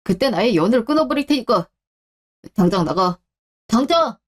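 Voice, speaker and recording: A woman's voice: on the high side, animated, moderate pace. A woman voicing a protective adult husband in a radio drama, with a firm, blunt and earnest delivery. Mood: angry. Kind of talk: Korean radio-drama story acting.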